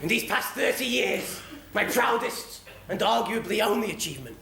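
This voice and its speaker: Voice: mid-range voice, animated, moderate pace, creaky, heavy background noise. An actor playing a washed-up, drunken old cat in a stage pantomime, rambling and melodramatic. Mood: maudlin. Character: washed-up, drunken, self-pitying old alley cat, former rat catcher. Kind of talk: stage pantomime comedy acting. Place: theatre stage before a live audience. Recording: echoey theatre stage recording with audience.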